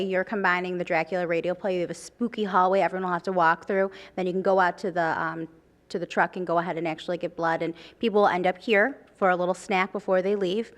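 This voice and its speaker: A woman reporting live on location for a morning show, chatty and playful as she interviews guests. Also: on the low side. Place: on location inside a community theater. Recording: background music behind voice.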